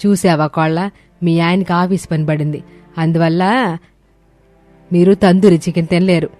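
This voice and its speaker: A woman's voice: fairly low pitch, fairly even intonation, normal speed, darkish tone, lightly acted. An elderly mother-in-law in a cartoon family tale, played by a woman, talks in a homely, colloquial way, fond yet skeptical. Faint music plays behind. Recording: music bed under voice.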